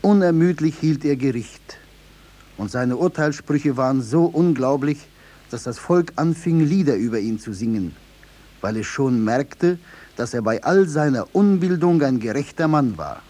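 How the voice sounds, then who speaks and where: An actor as the narrator of a 1950s German radio play, telling a parable in a measured, explanatory way. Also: male voice, mid-range voice, lively intonation, mellow. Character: calm storyteller narrating a folk parable.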